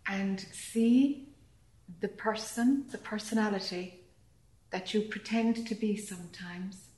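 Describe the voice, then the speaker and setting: A woman leading a guided meditation calmly and gently, in short phrases with long pauses between them. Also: mid-range voice. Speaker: meditation teacher. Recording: echoey room.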